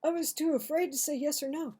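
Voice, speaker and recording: A woman in her sixties reading a children's story aloud in a dramatic, deliberate, expressive way. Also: sits high. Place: small home office, indoors.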